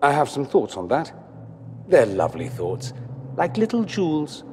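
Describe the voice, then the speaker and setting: A suave, erudite mage from a video game. His flippant, theatrical delivery swings widely in pitch. There is long reverb and faint noise around the voice. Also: wildly swooping pitch, moderate pace. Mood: wry, thoughtful. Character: suave, witty, curious male mage.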